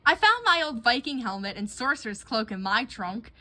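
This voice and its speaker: A woman voicing a lively, warm-hearted young princess in a storybook, with an eager manner. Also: fairly high pitch, normal speed. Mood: curious.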